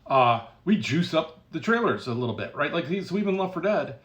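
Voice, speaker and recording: A man's voice: on the high side, big pitch swings, brisk, fairly bright tone, highs cut off. Blunt, sardonic man talking shop. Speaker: video game developer giving commentary. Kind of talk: casual opinionated explainer.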